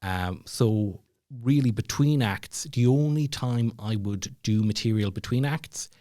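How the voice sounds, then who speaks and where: A man's voice: lowish voice, a little slow, dark tone, no room sound, clean studio sound. An Irish man chatting casually as a podcast guest, telling stories in a rambling, self-deprecating way. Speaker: podcast guest, former comedy club MC. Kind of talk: casual storytelling chat.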